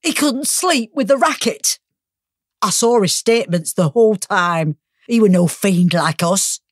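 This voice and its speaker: Hardened Northern English working-class mother, gritty audio drama. Bitter, slangy, unhurried delivery, very dry sound. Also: medium pitch, expressive, no room sound. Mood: angry, accusing. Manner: blunt, slangy, sweary. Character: bitter, hard-drinking working-class mother, grieving and defiant.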